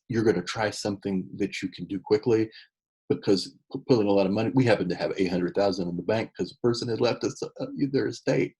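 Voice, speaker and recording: A middle-aged man giving an unscripted talk on church ministry, halting with many fillers and restarts. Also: fairly low pitch, a little mellow, breathy edge, poor audio. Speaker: church consultant and author.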